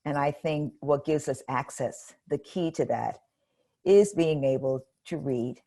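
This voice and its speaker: Older woman, retired educator, very low voice, measured and earnest. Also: dark tone. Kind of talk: interview answers.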